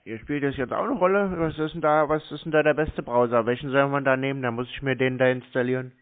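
A man's voice: mid-range voice, steady tone, fast. Grumbling man on a muffled line. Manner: obtuse, grumbling, argumentative. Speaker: scambaiter posing as a victim on a phone call. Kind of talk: phone conversation, playing a slow customer. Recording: muffled lo-fi phone call.

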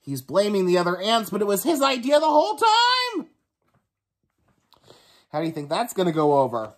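A young man in an animated read-aloud counting lesson for small children, full of exaggerated wonder and questions. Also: sits high, normal speed. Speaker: teacher reading a children's book aloud. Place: small room at home, indoors.

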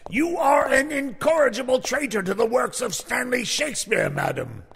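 An actor playing a pompous, outraged theatre director, declaiming theatrically in a raspy voice. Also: male voice, very high-pitched, animated, moderate pace, fairly bright tone, shouting, heavily acted. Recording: long hall-like reverb.

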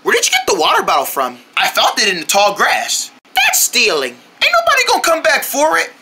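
A woman voicing a cast of paper goat puppets in a homemade outdoor show, with shouting and fake crying. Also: fairly high pitch, huge pitch swings, a little fast, noisy background. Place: outdoors on grass at night.

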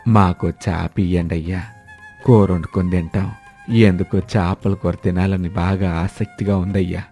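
A man voicing a hungry, mild old village husband in an animated moral story. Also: low voice, normal speed, faint music behind. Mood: pleading. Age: older.

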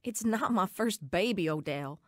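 A woman acts out a testy young girlfriend in a radio drama, demanding and impatient. Her mid-pitched voice sounds close and dry. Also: animated, measured pace, dry recording, close to an everyday voice.

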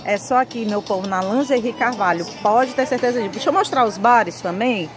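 Woman, Northeastern Brazilian accent, high voice, chatty enthusiastic sales pitch, shopping vlog, music behind. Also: brisk. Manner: chatty, addresses viewers as friends. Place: indoor market lingerie stall.

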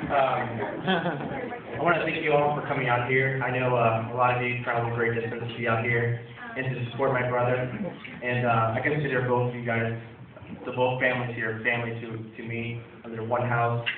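Brief: young man, best man toast, halting and chuckling, heard from far off on a tinny phone recording in an echoey hall. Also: medium pitch, flat delivery. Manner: halting, many fillers and restarts, chuckles. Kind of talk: wedding toast speech. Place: dim wedding reception hall, indoors. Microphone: handheld mic, filmed by a far phone. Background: crowd of guests.

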